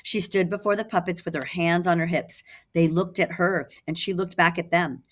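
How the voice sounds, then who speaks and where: A woman's voice: lowish voice, steady tone, normal speed, a bit bright. A woman reading teacher giving a children's read-aloud, instructive, with explanatory asides to young listeners.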